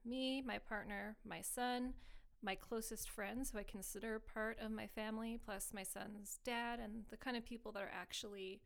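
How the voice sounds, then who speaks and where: Thirtyish woman from montreal, vocal fry, thoughtful chatty guest. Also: fairly high pitch, steady tone, moderate pace. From Canada (Montreal area). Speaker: podcast guest. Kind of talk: casual conversation.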